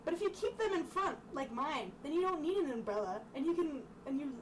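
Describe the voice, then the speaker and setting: A woman voicing a slow-witted, honest mother pig from a storybook, giving earnest, halting advice. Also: sits high, animated, pitched above the performer's own voice. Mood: earnest advising. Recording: distant mic, clearly noisy room sound.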